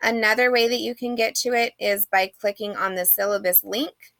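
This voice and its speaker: Gravelly-voiced woman teacher, a bit sick. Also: mid-range voice, steady tone, normal speed, a bit bright, no room sound. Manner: friendly, chatty, many "um"s. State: sick, losing her voice. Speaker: online English instructor. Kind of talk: recorded screen-share lecture.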